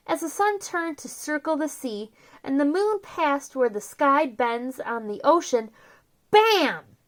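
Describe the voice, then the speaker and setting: Very high-pitched, animated woman storyteller. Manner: theatrical, drawn-out emphasis on key words. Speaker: children's storyteller, host. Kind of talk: expressive picture-book read-aloud.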